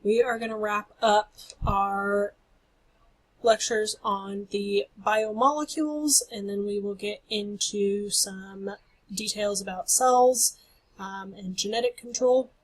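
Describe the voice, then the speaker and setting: A woman's voice: medium pitch, fairly even intonation, takes it slowly, poor audio. A woman instructor losing her voice, giving a recorded lecture over slides in an explanatory way. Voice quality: husky. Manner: explanatory, apologetic about her voice.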